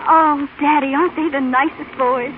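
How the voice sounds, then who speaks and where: A woman's voice: high-pitched, steady tone, moderate pace, natural voice. A woman playing an eager, affectionate teenage daughter in an old American radio drama, with a gushing, girlish manner and an American accent. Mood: grateful, gushing. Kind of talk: 1930s-1950s American radio drama acting. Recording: tinny, band-limited old radio broadcast, faint noise.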